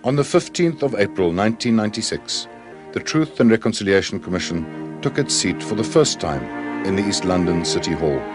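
A man giving solemn, measured scripted documentary narration. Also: lowish voice, animated. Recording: narration over music.

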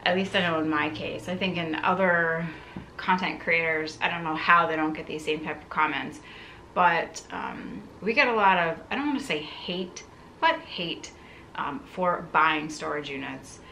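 A woman's voice: low voice, normal speed, creaky, pushed and bright, a little hiss or hum, low-quality recording. A woman in her thirties talking casually to camera as she hosts an unboxing vlog, musing aloud in a conversational way. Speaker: content creator, video host. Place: living room, indoors.

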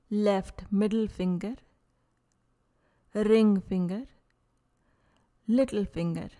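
Woman, meditation guide, extremely slow pace, soft mellow tone, calm, short phrases, long pauses. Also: mid-range voice. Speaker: yoga nidra guide.

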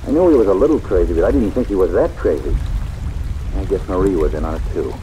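A deep-voiced tough boxing guy. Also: animated, fast talker, mellow, a little hiss or hum, low-quality recording. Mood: bitter. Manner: terse, slangy. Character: low-voiced tough working-class boxing man. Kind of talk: 1940s-50s radio drama acting. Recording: old radio recording under heavy rain ambience.

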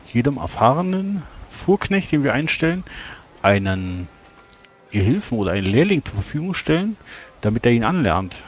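A man's voice: medium pitch, a little hiss or hum. A man improvising in-character dialogue as a player in a tabletop role-playing game.

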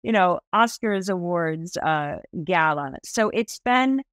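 A woman in her early fifties talks with bright enthusiasm as a podcast guest, her voice sitting a little low for a woman. She is recorded on a video call in a small room with a close, dry sound. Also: slow, dry recording. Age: 50s. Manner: animated, enthusiastic storytelling with expressive hand gestures. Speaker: guest stylist.

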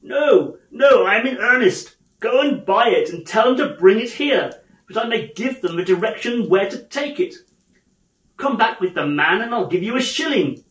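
Guy doing old reformed miser from a storybook, giddy n hearty, high swoopy voice, echoey. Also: very animated, normal speed, fairly bright tone. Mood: gleeful, excited. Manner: exclamatory, emphatic, theatrical.

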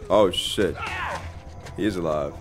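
A man's voice: low voice, slow. A man giving casual, slangy, swearing commentary on a video game in an incredulous manner. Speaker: game streamer. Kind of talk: casual gameplay reaction commentary.

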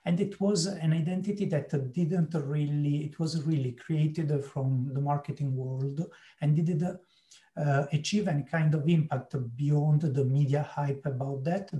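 A man with a Sicilian Italian accent giving a keynote lecture over a video call, speaking in an unhurried, halting way with many fillers. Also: on the high side, warm tone, reverberant. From Italy, Sicily. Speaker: keynote speaker, marketing professor.

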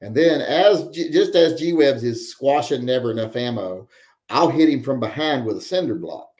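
A man's voice: medium pitch, lively intonation, moderate pace, darkish tone. Wry, rambling man in an echoey room. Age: 40s. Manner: rambling, wry joking asides. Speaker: podcast host reading viewer chat. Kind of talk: casual live-stream chat. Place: home room with guns on the wall.

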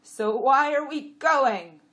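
A woman's voice: high voice, slow, fairly bright tone, pitched above the performer's own voice. A woman voicing a dim, bickering male pack donkey with a blunt manner in an audiobook story. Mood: puzzled, uneasy. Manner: blunt, stubbornly echoing complaints. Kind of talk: audiobook character voice.

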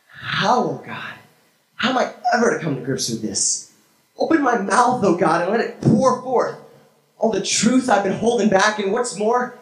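A young man performing spoken word poetry on a small church stage with a handheld mic, dramatic and swinging from hushed to shouted. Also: a little high. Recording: echoey room from a far camera.

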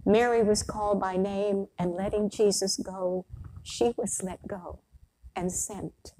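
Casting: woman in her 60s, priest at the pulpit, soft-edged mellow tone, measured delivery, slight background hiss. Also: mid-range voice, fairly even intonation, rough recording. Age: older, around 60s. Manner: measured, deliberate phrasing with pauses. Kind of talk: sermon, read from a prepared text. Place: church sanctuary, at the pulpit.

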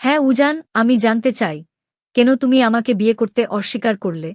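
A woman plays a young princess in a cartoon drama, her voice fairly high and closely recorded. She is proud and wounded in love, pressing her questions with open, demanding emotion. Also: animated, fairly quick, a little mellow, dry recording, clean studio sound, close to an everyday voice. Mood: hurt, demanding. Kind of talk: dramatic Bengali animated story dialogue.

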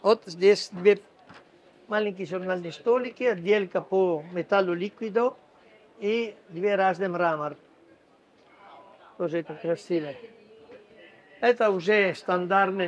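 Halting man guiding a furniture stand tour. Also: sits high, muffled recording. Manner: halting, ungrammatical Russian with restarts. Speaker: furniture showroom guide. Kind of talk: casual walking tour explanation. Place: busy furniture fair stand, indoors.

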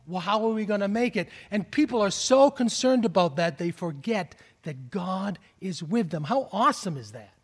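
Boston-accented pastor preaching in a reverberant church. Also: male voice, high voice, lively intonation, normal speed, few pauses, breathy edge. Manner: earnest preaching with rising calls and soft drops. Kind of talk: sermon. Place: large church sanctuary. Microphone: stand mic at pulpit, far camera.

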